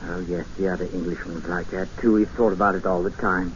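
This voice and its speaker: An actor playing a courtly, evasive old recluse, genial yet quietly menacing, in a 1950s radio drama. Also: male voice, low voice, fairly even intonation, close to an everyday voice. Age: older. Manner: courtly, evasive, placid, drawn-out. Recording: noisy, dull vintage radio recording.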